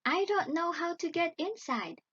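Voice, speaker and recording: A woman's voice: very high voice, animated, unhurried, a bit bright, highs cut off, voice pushed up, full character voice. A woman voicing a frightened, sorrowful little girl in a fairy tale, plaintive and pleading. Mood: feigned puzzlement.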